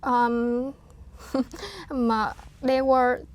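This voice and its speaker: A woman in her thirties from Vietnam, speaking English as an as an interview guest, hesitant with frequent fillers and soft laughs. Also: high-pitched, very slow. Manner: hesitant, many fillers and restarts, laughs softly. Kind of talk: casual interview talk.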